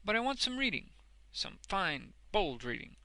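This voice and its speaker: A man's voice: sits high, sing-song, moderate pace, bright, forceful tone, dry recording, pitched above the performer's own voice, exaggerated voice. A man voicing a hearty, uneducated old working man in a storybook, blunt and exuberant, with emphatic, ungrammatical speech. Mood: eager, bluff.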